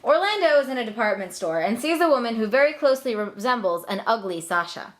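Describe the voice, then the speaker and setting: A woman's voice: on the high side, expressive, quick pace, a bit bright. A young woman narrating a comic scripted story in a flippant, casual manner.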